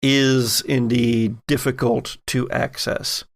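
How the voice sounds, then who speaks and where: A man with a mid-pitched, creaky voice runs a tabletop game as its master. He narrates with theatrical storytelling phrasing and talks the players through the rules. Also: flat delivery, takes it slowly.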